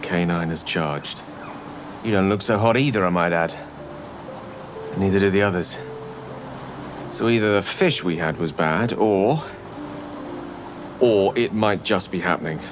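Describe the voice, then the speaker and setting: Worn-out fantasy-game adventurer, dry and wry. Low pitch, clear ambient noise behind. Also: male voice, measured pace, long pauses. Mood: grim, resigned. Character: weary, wry male fantasy adventurer, feeling unwell. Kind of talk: fantasy video game acting.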